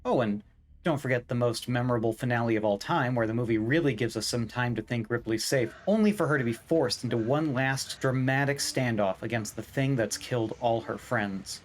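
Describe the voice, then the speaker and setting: A man's voice: medium pitch, fairly quick, a little hiss or hum. A man narrating a scripted video essay in a wry, opinionated, emphatic way.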